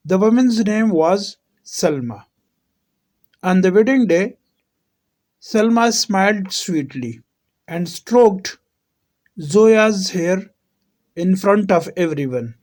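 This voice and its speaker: A man with a high-pitched voice reads a scripted emotional story. His delivery is very slow and solemn, with deliberate dramatic pauses between short phrases. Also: darkish tone.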